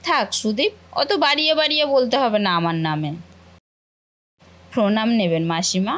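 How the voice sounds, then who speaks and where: A woman's voice: fairly high pitch, big pitch swings, normal speed, fairly bright tone. A woman voicing a dutiful, modest young sister-in-law in a cartoon family drama, speaking politely and attentively.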